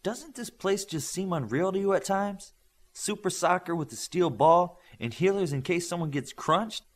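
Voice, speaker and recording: A man's voice: sits high, expressive, normal speed, voice pushed up. A man reading the part of a mild, cautious peacemaking boy with powers in an audiobook, questioning and agreeable. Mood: wondering.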